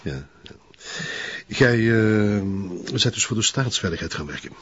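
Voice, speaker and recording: Husky, deep-voiced Flemish police chief. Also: male voice, animated, a little slow, fairly bright tone, full character voice. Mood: awkwardly flirtatious. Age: middle-aged. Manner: hesitant, chuckling, unctuous. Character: jovial, fawning, self-satisfied middle-aged police chief. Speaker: police commissioner. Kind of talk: naturalistic TV drama acting. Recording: dull band-limited TV audio.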